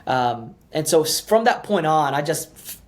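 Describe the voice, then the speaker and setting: A man's voice: a little high, sing-song, moderate pace. A man in his thirties, a pastor raised in the US after early childhood in Ukraine, talking casually as a podcast guest with lots of fillers and restarts. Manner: chatty, many fillers and restarts.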